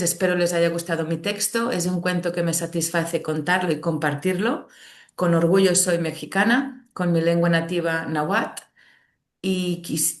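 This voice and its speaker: A woman co-host who reads a listener's message aloud and sounds enthusiastic while closing the program. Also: fairly low pitch. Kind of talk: reading out a message and wrapping up the program.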